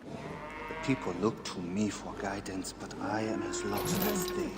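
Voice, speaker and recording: An actor voicing an anxious, solemn high priest of a cursed city in a video game cutscene, stammering and pleading. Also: male voice, wildly swooping pitch, a little slow, very noisy. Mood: grave. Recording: game cutscene with music underneath.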